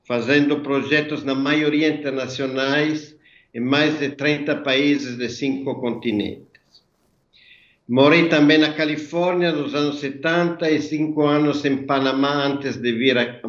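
A man in his sixties with an Italian accent, a native Italian speaker, giving measured, methodical explanations as an interview guest over a video call. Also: mid-range voice. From Italy. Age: 60s. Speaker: interview guest, architect and designer. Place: indoor workroom, remote video call. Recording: echoey, dull video-call audio.

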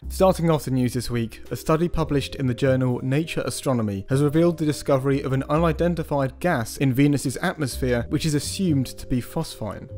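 A man narrating a scripted science news roundup in a measured, even voice-over. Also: medium pitch, faint music behind. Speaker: news presenter.

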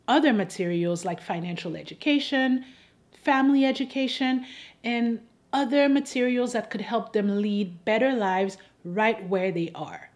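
Wry, pointed woman giving commentary. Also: on the high side. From Haiti. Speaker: host of an advocacy video. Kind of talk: scripted persuasive commentary addressed to camera.

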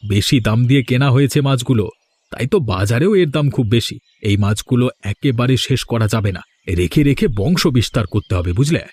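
A man's voice: mid-range voice, animated, a little fast, a little mellow, husky, dry recording. Man acting a proud, emphatic village husband. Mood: reassuring. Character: proud, defiant, hard-working village husband. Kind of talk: cartoon voice acting.

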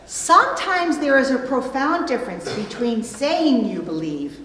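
Unhurried female preacher in an echoing hall. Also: high voice, sing-song, fairly bright tone, slight background noise. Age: middle-aged. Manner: deliberate phrasing with pauses for emphasis. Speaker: pastor. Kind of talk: sermon with illustrative stories. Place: church pulpit indoors, recorded from a distance. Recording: echoey hall, long reverb.

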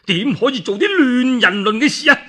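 Man playing a stern, forceful Cantonese general. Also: very high-pitched, animated, normal speed, pitched above the performer's own voice, heavily acted. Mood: outraged. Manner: righteous, forceful, emphatic. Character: upright, stern male warrior general, quick to righteous anger. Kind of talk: radio storytelling character.

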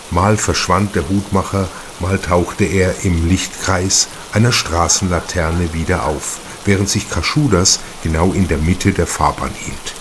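A male narrator with a very low voice reads crime fiction very slowly. Faint rain sounds sit behind the scripted audiobook delivery. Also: noisy background.

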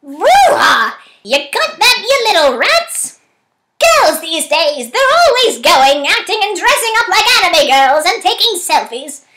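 A woman's voice: very high voice, very animated, unhurried, pushed and bright, slight background noise, pitched above the performer's own voice, full character voice. A woman voicing a crabby old villainess who scolds in a strained, forced voice for an amateur cartoon dub audition. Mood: cranky, brash. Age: older. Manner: cranky, scolding, exclamatory. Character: crabby old woman villain grumbling about girls these days.

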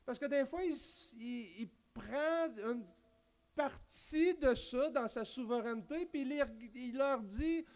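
A man in his thirties with a Québécois accent, preaching a sermon from a church pulpit with animated gestures and frequent restarts. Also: very high-pitched. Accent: Québécois French. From Quebec. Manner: animated, gesturing widely, many restarts and spoken asides. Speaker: pastor. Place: church stage at a wooden pulpit, indoors.

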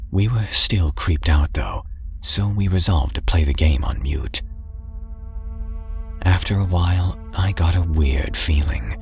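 Male audiobook narrator, deep register, hushed and whispery, light music bed. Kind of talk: first-person horror story narration. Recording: faint background music.

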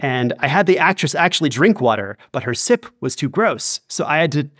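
A man's voice: on the high side, lively intonation, fairly quick, a bit bright, no room sound, clean studio sound. A man speaking as an audio drama director and interview guest, chatting casually and acting out bits of dialogue as he tells stories.

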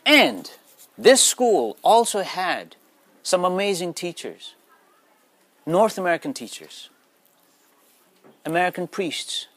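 A man's voice: fairly high pitch, a bit bright. A man in his forties from Nepal, native speaker of Nepali, giving an earnest motivational talk to schoolchildren and often checking in with them. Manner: earnest, asks the audience questions.

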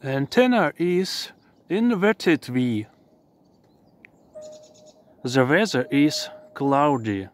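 A man in his thirties presenting a radio hobby vlog outdoors in short, plain, halting phrases. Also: fairly high pitch, lively intonation. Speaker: amateur radio operator presenting his field outing. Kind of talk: simple scripted vlog narration. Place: outdoors in a snowy forest.

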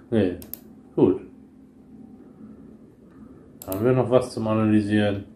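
A middle-aged man comments casually on a game in a roomy, echoing space with faint noise. His voice is low and mellow, and he takes his time as a relaxed let's play host. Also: takes it slowly. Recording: roomy echo, slight background noise.

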